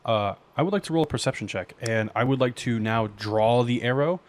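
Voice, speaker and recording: A man's voice: medium pitch, a little fast, slight background noise. A man talking casually as a player in a tabletop game, describing his character's moves. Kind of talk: casual improvised game chat.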